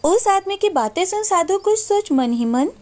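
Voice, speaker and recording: A woman's voice: extremely high pitch, expressive, moderate pace, darkish tone. A woman narrator reading a scripted story.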